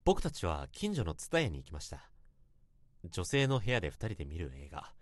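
An actor playing an earnest young office worker who narrates a past infatuation in a radio drama, with polite, literary phrasing. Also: male voice, low voice, huge pitch swings, normal speed, no echo, clean studio sound. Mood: earnest, confessional. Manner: polite, formal, literary storytelling.

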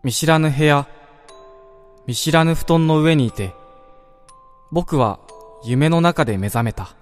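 A male actor as a wistful dreamer narrates a radio drama over soft background music. His voice sits a little high for a man, and he takes his time, speaking in a measured, reflective first person as if recounting his own dream. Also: close to an everyday voice. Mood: reflective, calm. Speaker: narrator-protagonist.

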